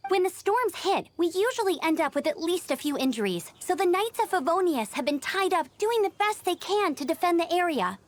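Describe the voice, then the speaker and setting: A woman's voice: extremely high pitch, very animated, fairly quick, few pauses. A woman playing an eager, upbeat young female knight and outrider in a video game, earnest and helpful in manner. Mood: earnest, concerned. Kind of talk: English video game voice acting. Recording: game music behind the voice.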